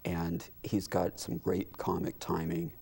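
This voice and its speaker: Low, gravelly American man, casually explaining. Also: monotone, unhurried, dark tone. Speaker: community theater representative, talk show guest. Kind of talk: casual interview talk.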